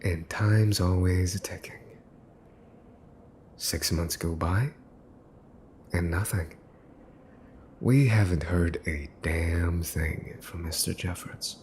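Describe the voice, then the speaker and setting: A man's voice: sits low, takes it slowly, pauses often, a little mellow, a little hiss or hum. A man playing a mafia boss's son in an ASMR roleplay, smug, teasing and menacing, with a mocking theatrical drawl. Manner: mocking, theatrical drawl with mock-cute wording. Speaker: voice actor.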